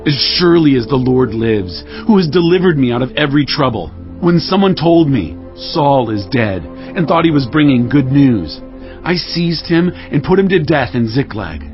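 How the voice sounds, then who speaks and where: An actor playing a solemn, wrathful king in a dramatized scripture audio drama, declaiming gravely and with command. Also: medium pitch, big pitch swings, normal speed, natural voice. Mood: righteous anger. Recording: dull audio with music behind.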